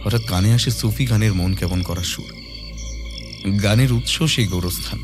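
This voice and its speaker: A man's voice: lowish voice, moderate pace, music in the background. A man narrating a scripted horror audio story in a dramatic storytelling style.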